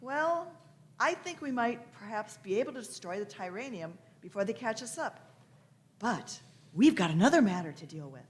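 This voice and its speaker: A woman's voice: on the high side, sing-song, moderate pace. A woman playing an eccentric, imperious elderly time traveller in a radio play, fussily humming and repeating words. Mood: fond, thoughtful. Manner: fussy, repeated words and hums. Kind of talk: live radio play reading.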